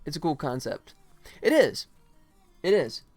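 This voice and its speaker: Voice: medium pitch, very animated, unhurried, a little mellow, soft background music. A game developer hosting a livestream, chatting casually and jokily in a rambling way while working. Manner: rambling, jokey, acts out mock quotes.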